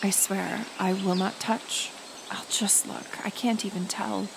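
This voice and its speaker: Woman playing a kind stubborn woods healer lady, slow halting talk, bit of fry, insects n forest sounds behind, audio roleplay. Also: mid-range voice, steady tone. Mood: gentle pleading. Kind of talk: roleplay audio drama, speaking directly to the listener. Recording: outdoor nature ambience, insect noise. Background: forest ambience with insects.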